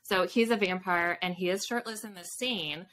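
Bright-voiced woman chatting casually. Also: on the low side, moderate pace, slight background noise. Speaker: guest author. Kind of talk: casual interview chat. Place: home room with bookshelves, indoors. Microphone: video call.

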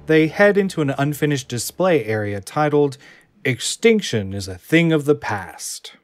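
A man narrating the scene descriptions of an audio drama in a plain, even reading. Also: on the high side, a little slow, no echo, faint music behind. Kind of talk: scripted narration of stage directions.

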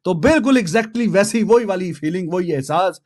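High-pitched Pakistani man, casual cricket talk. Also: animated, moderate pace, darkish tone. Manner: conversational, colloquial, switches into English phrases. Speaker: cricket commentator. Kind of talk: casual cricket commentary to camera. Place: small indoor home studio. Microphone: desk microphone close in front.

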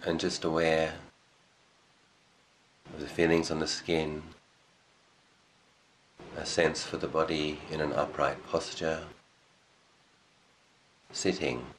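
Very deep-voiced man guiding meditation. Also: slight background noise, rough recording. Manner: calm, measured, with long pauses between short phrases. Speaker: meditation teacher. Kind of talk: guided meditation.

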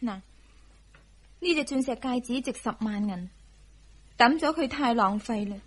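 An actress playing a gentle, dutiful, self-sacrificing young wife in a Hong Kong Cantonese radio drama, soft and careful in manner. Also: female voice, a little high, expressive, unhurried, breathy edge. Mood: calm, resigned.

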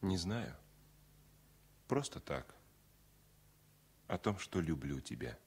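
A guarded man in love in a radio drama. He answers tersely in a very low, breathy voice with lively intonation, tender yet evasive. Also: slow pace, full character voice. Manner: terse, muted. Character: reserved, evasive lover on his way home. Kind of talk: intimate 1970 radio play.

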